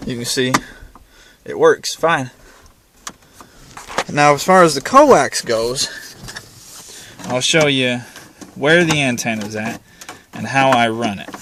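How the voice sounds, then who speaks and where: A man's voice: mid-range voice, a bit bright, a little hiss or hum. A man giving a casual, hands-on tutorial in an informal, plain-spoken way, explaining things step by step. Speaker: hobbyist demonstrating a vehicle radio installation. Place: in and around a parked pickup truck.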